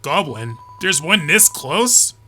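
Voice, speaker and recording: A woman's voice: low voice, very animated, slow pace, bright, forceful tone, slight background noise, exaggerated voice. A woman voicing a dutiful, formal castle knight in a video game fan dub. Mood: alert, gallant.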